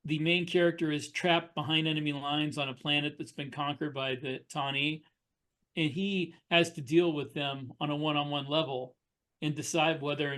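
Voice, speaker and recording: A man co-hosting a podcast as an author, talking casually and haltingly about writing fiction. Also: on the high side, a bit bright.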